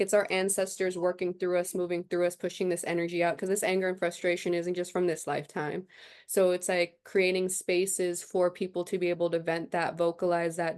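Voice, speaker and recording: A woman's voice: fairly low pitch, darkish tone, clear, resonant tone. A young adult woman, a chiropractor, talking reflectively in a casual interview, often using fillers. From United States, raised partly in Guatemala. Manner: reflective, many fillers like "also too". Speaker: chiropractor guest.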